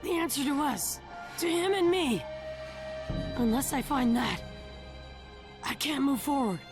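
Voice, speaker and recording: A woman voicing a stubborn, earnest teenage ninja boy in an anime game, with a raspy, boyish voice. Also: high voice, expressive, a little slow. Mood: troubled resolve. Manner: earnest, determined, emphatic. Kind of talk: English anime game voice acting. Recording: music behind the voice.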